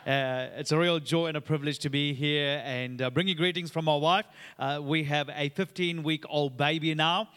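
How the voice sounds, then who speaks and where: A man's voice: fairly high pitch, fairly even intonation, normal speed, a bit bright, clean tone. A man in his thirties, originally from Sri Lanka, preaching as a guest pastor. He talks in an upbeat, chatty way with many "uh" fillers. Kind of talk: sermon with personal story. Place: church auditorium. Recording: long reverb of a church hall.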